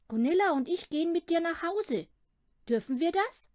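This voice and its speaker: Woman doing a very high, keen little schoolgirl. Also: expressive, normal speed, darkish tone, no echo, clean studio sound. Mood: hopeful.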